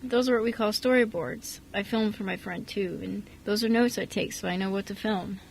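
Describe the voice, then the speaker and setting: A woman playing a kind, soft-spoken adoptive father, hesitant and reassuring, in an amateur radio drama. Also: mid-range voice, normal speed, vocal fry, slight background noise, close to an everyday voice, low-quality recording. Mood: modest. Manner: hesitant, reassuring, mild stammer.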